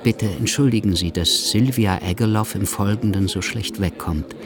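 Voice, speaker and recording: A man narrating a literary radio drama in a measured, storytelling manner. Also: fairly low pitch, a crowd in the background, lightly acted.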